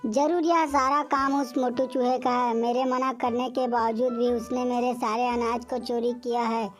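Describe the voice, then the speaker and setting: A woman's voice: fairly high pitch, level tone, normal speed, soft background music, close to an everyday voice. A woman voicing a small, hardworking female bird in a cartoon moral story, earnest and moralizing. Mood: upset, resolute. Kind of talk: Hindi animated moral story dialogue.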